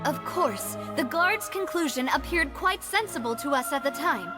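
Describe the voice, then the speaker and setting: A woman's voice: sits high, expressive, normal speed, over music. An actress playing a determined young woman accuser in a video game, speaking earnestly and firmly. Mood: earnest, insistent. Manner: earnest, firm, articulate. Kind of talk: scripted game dialogue.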